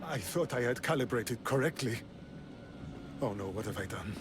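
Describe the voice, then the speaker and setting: An actor playing an earnest, articulate, idealistic scientist prince from an ancient advanced race in a video game drama. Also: male voice, mid-range voice, expressive, moderate pace, background noise, close to an everyday voice. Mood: horrified. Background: music.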